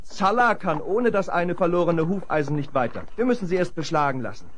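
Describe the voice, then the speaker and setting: A friendly young rancher's son acted by a man in a western adventure radio play. The voice is high and lively, spirited and sure of itself, on a noisy old vinyl recording. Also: fairly quick, lightly acted. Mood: gentle, chatty. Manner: spirited, familiar, assured. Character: plucky, friendly young rancher's son, devoted to his dog. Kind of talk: 1970s German western radio play acting.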